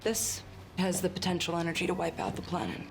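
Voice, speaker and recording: A woman's voice: lowish voice, very animated, fairly quick, noisy background. A woman playing a cool, confident secret agent, composed and matter-of-fact, in a video game cutscene. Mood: cool, businesslike.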